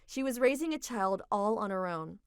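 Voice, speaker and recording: A young adult woman narrating a manga dub story in a steady, reflective reading. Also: mid-range voice, moderate pace, smooth, no room sound, studio quality.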